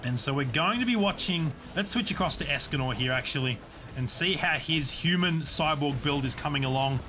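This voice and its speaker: Guy casting a game tournament live, kinda high bright voice, steady explainy play-by-play, faint game music behind. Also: brisk. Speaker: esports caster and streamer. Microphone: desk USB condenser mic.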